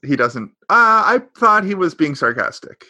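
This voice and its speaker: A man's voice: fairly high pitch, very animated, normal speed, pushed and bright, no room sound. A man co-hosting a podcast, chatting casually about an old sitcom, rambling and correcting himself, with a sarcastic edge. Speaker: podcast co-host. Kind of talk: casual chat recapping a sitcom.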